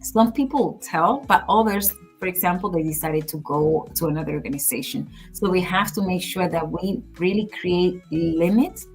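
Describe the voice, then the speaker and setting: A woman co-hosting a podcast, talking in a measured, earnest, advice-giving way in casual conversation. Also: lowish voice, soft background music. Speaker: podcast co-host.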